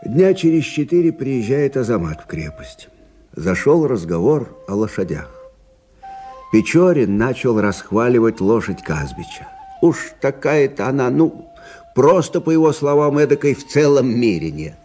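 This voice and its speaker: An actor playing a plainspoken, rueful older army officer who recounts frontier tales conversationally in a radio play. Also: male voice, medium pitch, measured pace, soft background music. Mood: storytelling. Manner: conversational, expressive, folksy. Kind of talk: dramatic storytelling in a radio play.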